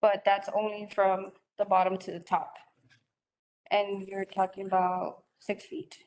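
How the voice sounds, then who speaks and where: A woman's voice: medium pitch, little pitch movement, measured pace, darkish tone, low-quality recording. A woman informally explaining details as a presenter in a meeting. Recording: faint background noise.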